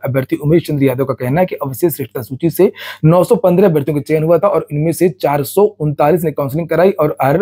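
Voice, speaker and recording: A man with a slightly high, husky voice reads news notices aloud. His tone is soft-edged and mellow, and he explains each item plainly and matter-of-factly as a presenter. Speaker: exam and recruitment news presenter.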